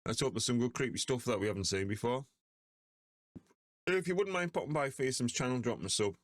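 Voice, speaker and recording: An older man with a hoarse voice from a cold, chatting casually as he reacts to ghost videos. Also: mid-range voice, expressive, a little fast, no room sound, studio quality. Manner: chatty, easygoing.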